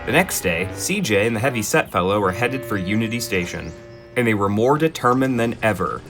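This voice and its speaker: A man narrating in a gentle, expressive children's storybook style, with dry asides. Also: lowish voice, a little fast, music in the background.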